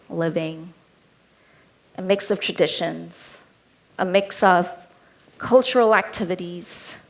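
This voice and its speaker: A woman in her thirties with an Indian accent, giving a keynote talk as a personal story told in a candid, lightly humorous way. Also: mid-range voice. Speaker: keynote speaker.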